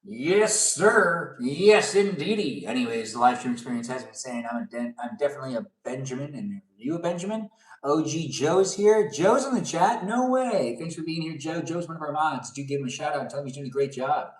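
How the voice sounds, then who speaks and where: A man's voice: on the high side, animated, fairly quick. A man in his thirties hosting a casual livestream from his home kitchen, rambling playfully with sing-song asides while he moves gear and takes apart a desk. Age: 30s. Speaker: livestream host. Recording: roomy, distant camera audio.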